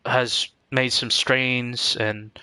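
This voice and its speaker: A man giving casual live-stream game commentary in a halting, rambling way, often repeating words as he goes. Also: medium pitch, flat delivery, sharp tone, smooth, no room sound, rough recording.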